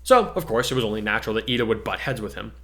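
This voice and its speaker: A man's voice: fairly low pitch, expressive, very fast, fairly bright tone. A man reading scripted fiction aloud as a narrator, in a dramatic story-reading style.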